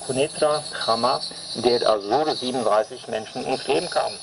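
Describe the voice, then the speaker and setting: A male newsreader with a medium-pitched voice reads a scripted bulletin. The shortwave reception adds clear radio noise. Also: fairly quick. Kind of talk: scripted news reading.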